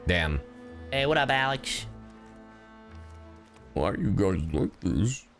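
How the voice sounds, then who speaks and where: A man's voice: lowish voice, big pitch swings, slow, gravelly, fairly bright tone, soft background music. A man streaming a video game, chatting casually with viewers in an irreverent, joking, slangy and sweary way. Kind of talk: casual livestream chat and game commentary.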